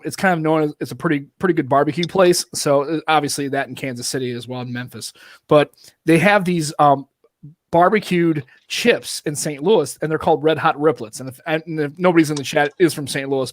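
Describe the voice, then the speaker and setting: A man's voice: on the high side, no room sound, studio quality. A man hosting a podcast, chatting loosely with lots of restarts and informal asides. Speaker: podcast host. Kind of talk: casual podcast chat.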